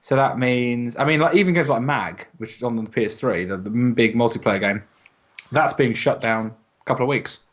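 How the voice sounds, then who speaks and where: A man with a medium-pitched voice talks casually on a gaming podcast. He swears freely and likes to act out imagined quotes as he goes. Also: brisk. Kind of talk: casual chat about video games.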